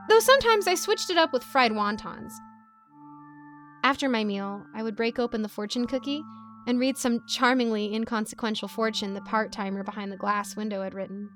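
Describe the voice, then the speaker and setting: A woman with a fairly high voice narrates a scripted story. Her delivery is expressive, shaped for storytelling. Faint music plays underneath her. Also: a little fast.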